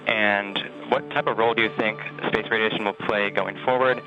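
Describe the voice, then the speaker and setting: A man's voice: on the low side, fairly even intonation, normal speed. A young man from Texas, a student intern, asking a prepared question on a call. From Texas, United States. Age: young adult. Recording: dull phone call, faint music.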